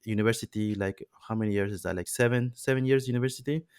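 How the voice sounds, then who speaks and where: A man in his thirties from Peru, a native Spanish speaker, talks slowly through his thoughts with plenty of fillers and restarts. His voice sits fairly low, and the recording is dry and close. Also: darkish tone, clear, resonant tone, no room sound. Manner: reflective, many fillers and restarts. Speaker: podcast host. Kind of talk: casual conversation.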